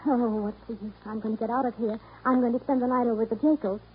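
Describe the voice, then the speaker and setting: An actress playing a sharp-tongued, bossy young woman with a needling, demanding manner in 1930s radio drama. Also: a little high, fairly even intonation, quick pace, slightly rough, narrow, tinny audio. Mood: snappish. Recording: noisy, thin 1930s radio recording.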